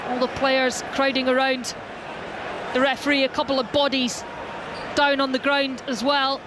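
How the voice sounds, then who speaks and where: Woman calling football live, high voice. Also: level tone. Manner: brisk, descriptive play-by-play calling. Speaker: play-by-play football commentator. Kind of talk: live radio match commentary. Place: football stadium commentary box. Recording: stadium crowd and loud background noise.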